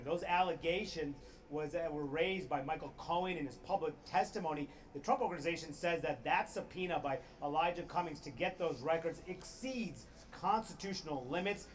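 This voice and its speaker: A man delivering a live television news report as a correspondent. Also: fairly high pitch. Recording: faint ambient noise, live TV report.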